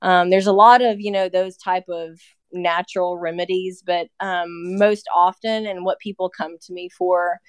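A woman's voice: fairly low pitch, steady tone, measured pace, smooth, no room sound. A woman in her thirties, a nurse practitioner guest, explaining in casual chat with lots of "you know" fillers. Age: 30s. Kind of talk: casual podcast interview.

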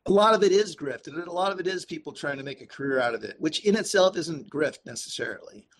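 A man speaking casually and earnestly as an interview guest, thinking aloud in a halting way. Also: a little high, animated, noisy background. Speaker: podcast guest, activist and author. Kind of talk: casual conversational interview answers.

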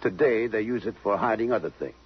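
An actor playing a hard-boiled, plain-spoken police detective in a 1950s radio drama. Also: male voice, lowish voice, brisk, background noise, natural voice. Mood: probing, matter-of-fact. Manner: plain-spoken, slangy, matter-of-fact. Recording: muffled 1950s radio broadcast.

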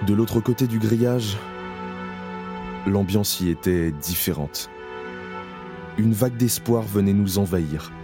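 Male narrator, very deep, grave and measured, over a music bed. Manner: slow, solemn, measured. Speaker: narrator playing Isaac, camp prisoner. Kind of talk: audio drama narration. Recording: clear music bed under voice.

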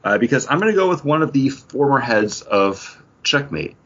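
A man's voice: mid-range voice, sing-song, normal speed, a bit bright, lo-fi sound. A man hosting a podcast, talking casually about comics and beer in a conversational way. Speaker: podcast host.

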